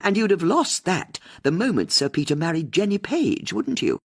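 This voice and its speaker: Woman acting a bold, animated lady sleuth. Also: fairly low pitch, moderate pace, husky, pitched above the performer's own voice, exaggerated voice. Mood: confrontational. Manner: pointed, insistent questioning. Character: bold, probing amateur sleuth woman, blunt and persistent. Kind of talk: audiobook character dialogue.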